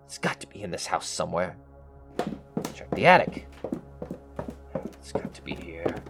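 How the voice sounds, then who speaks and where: An actor playing a greedy, short-tempered schemer in a melodramatic radio play, brooding and domineering. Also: male voice, deep voice, creaky, full character voice. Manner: brooding, muttering to himself, domineering. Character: scheming, greedy, short-tempered man hunting a hidden inheritance.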